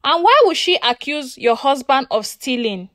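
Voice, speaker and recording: A Nigerian-accented woman voicing a calm, stern male police inspector in a folktale, measured and official. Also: high-pitched. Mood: questioning.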